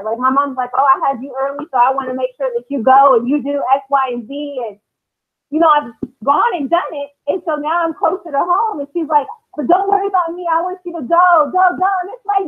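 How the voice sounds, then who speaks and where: Female discussion speaker, American, high-pitched. Earnest, reflective, deliberate; narrow phone-like sound. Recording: thin phone-like call audio.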